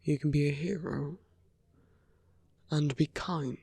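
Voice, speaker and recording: A man voicing a charming, flirtatious villain with a tender side in an intimate ASMR roleplay, soft and teasing, often dropping to a whisper. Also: on the high side, steady tone, slow pace, creaky, breathy edge, dry recording, exaggerated voice. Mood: earnest, gentle.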